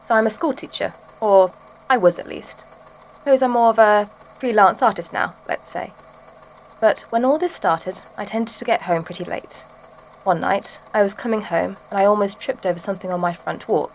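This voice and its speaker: Woman as ex-schoolteacher turned macabre artist: mid-pitched, wry, casual confessional for audio drama, light background noise. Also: moderate pace, natural voice, poor audio. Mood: chatty, wry. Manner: chatty, wry, matter-of-fact confessional storytelling. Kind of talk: audio drama statement monologue.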